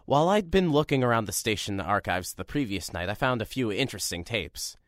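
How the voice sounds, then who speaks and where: A man's voice: lowish voice, lively intonation, brisk, no room sound, lightly acted. A young man narrating an audio drama in first person as a reporter, dry and matter-of-fact. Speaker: narrator and lead character, a young radio reporter.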